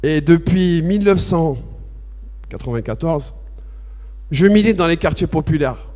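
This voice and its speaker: A man from France giving a personal testimony at a public debate, halting and repetitive at first, then impassioned and emphatic. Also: fairly high pitch, lively intonation, a little mellow. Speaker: association president speaking at a public debate. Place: debate hall with audience. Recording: long reverb of a large hall.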